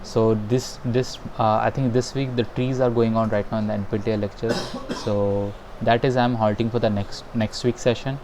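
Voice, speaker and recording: A man giving a live online programming lesson over a video call. He talks in a halting, hesitant way, full of fillers and restarts. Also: medium pitch, level tone, a little mellow, a little hiss or hum, rough recording. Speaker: instructor. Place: home in a rainy town.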